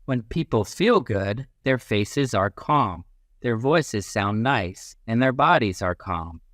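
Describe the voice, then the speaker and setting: A man with a mid-pitched voice reads a children's book aloud like a classroom teacher. His delivery is very lively in pitch and instructive, stressing key phrases. The sound is dry and close, with little room to it. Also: takes it slowly, no room sound. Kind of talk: expressive children's read-aloud.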